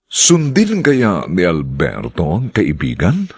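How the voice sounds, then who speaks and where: A man announcing a radio drama in a formal, drawn-out delivery. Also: low voice, wildly swooping pitch, a little mellow, breathy edge.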